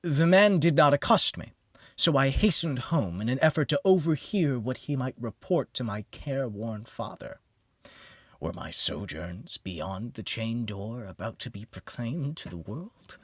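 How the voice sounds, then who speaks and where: A man narrating a gothic horror audiobook in a theatrical manner that moves between hushed near-whispers and heightened exclamation. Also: mid-range voice, expressive, measured pace, husky, no echo, studio quality. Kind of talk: dramatic scripted horror narration.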